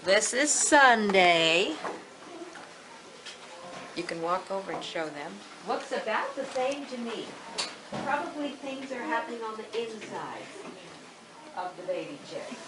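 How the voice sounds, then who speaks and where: A woman's voice: mid-range voice, sing-song, a little slow, pauses often. A woman speaking as an elementary school teacher, giving informal instructions and talking with young children in a classroom. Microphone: distant from the speaker. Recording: distant, echoey, very noisy classroom recording.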